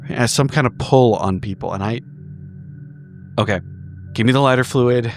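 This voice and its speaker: A man playing a tabletop roleplaying game, improvising in character with halting, repetitive, swear-laden phrasing. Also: medium pitch, faint music behind. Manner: halting, repetitive, profanity-laced speech. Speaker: tabletop roleplay podcast player.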